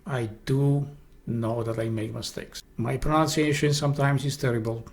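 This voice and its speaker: A man speaks English with a Polish accent, Polish being his first language. He hosts an esoteric presentation in an earnest, halting way, often restarting his sentences. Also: medium pitch, steady tone. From Poland. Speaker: host.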